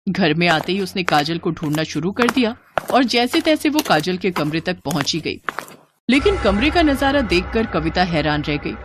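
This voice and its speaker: A woman's voice: fairly low pitch, background noise. A woman narrating a scripted moral story as a storyteller.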